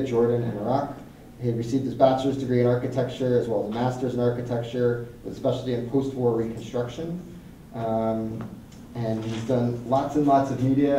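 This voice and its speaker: A man's voice: mid-range voice, a little hiss or hum. Man moderating in an echoey hall. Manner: relaxed, friendly, with frequent fillers. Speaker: panel moderator. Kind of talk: conference panel introduction. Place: conference hall. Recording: echoey hall, mic from a distance.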